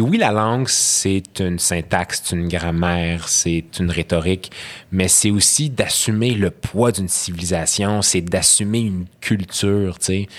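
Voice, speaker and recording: Reflective young man. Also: sits low. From Quebec. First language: Arabic. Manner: reflective, thoughtful, many restarts and "tu sais". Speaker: podcast guest. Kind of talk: casual podcast conversation.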